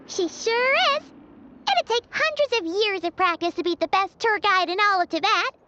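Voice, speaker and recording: A woman's voice: extremely high pitch, measured pace, sharp tone, a little hiss or hum, full character voice. A woman voicing a tiny, cheerful, fairy-like girl companion in a video game, bubbly and a little boastful. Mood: excited. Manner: bubbly, boastful, speaks of herself in third person. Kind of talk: video game character dialogue. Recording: dull game stream audio under music.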